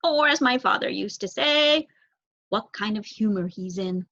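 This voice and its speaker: A woman voicing a young, eager, helpful male crow who is polite, chatty and not very bright, in a storybook reading. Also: mid-range voice, expressive, a little slow, muffled recording, heavily acted. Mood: earnest, explaining.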